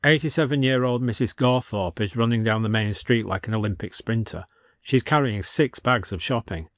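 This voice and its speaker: A man's voice: mid-range voice, moderate pace, no room sound, studio quality. A man narrating a scripted story as a storyteller.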